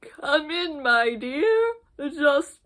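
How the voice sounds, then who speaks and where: A woman's voice: high-pitched, very animated, very slow, fairly bright tone, pitched above the performer's own voice, exaggerated voice. A woman voicing a wicked, hungry male wolf in a storybook, talking with false sweetness and coaxing politeness. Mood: sweetly menacing.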